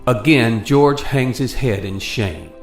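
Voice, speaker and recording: A male narrator reads a scripted radio play. His mid-pitched voice has a roomy echo and sits over music. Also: slow.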